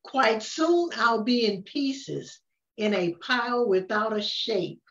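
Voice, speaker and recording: Slow, echoey older woman reading aloud. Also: a little high, animated. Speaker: storyteller reading a picture book aloud. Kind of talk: read-aloud of a rhyming children's story. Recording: roomy, distant, dull-sounding microphone.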